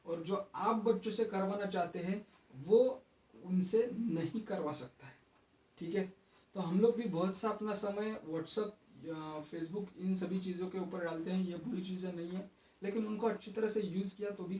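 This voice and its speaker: Echoey, higher-pitched Indian man explaining. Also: warm tone. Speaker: presenter of a parenting and child development video. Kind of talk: informal explainer talk to viewers. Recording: echoey room, distant microphone.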